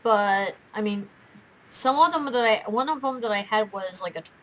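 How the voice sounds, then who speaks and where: A woman's voice: medium pitch, normal speed, a bit bright, slight background noise, rough recording. A young woman hosting a casual chat, talking in a giggly, rambling way. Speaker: host of a fan gaming and quiz show.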